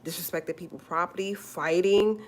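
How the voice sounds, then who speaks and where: A forty-year-old woman talks straight to her livestream viewers. Her voice sits very low, and she hammers her points home by repeating them. She calls listeners honey as she goes. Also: steady tone, normal speed. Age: 40s. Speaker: livestream host. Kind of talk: casual livestream talk.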